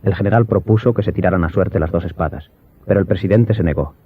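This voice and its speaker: A man's voice: low-pitched, fast talker, slightly rough, no room sound. A man reading a scripted audiobook narration as the storyteller. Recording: dull lo-fi sound, faint music behind.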